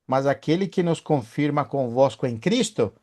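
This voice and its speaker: A man's voice: mid-range voice, expressive, normal speed, darkish tone. A man leading a Bible study, emphatic and often asking his listeners for agreement.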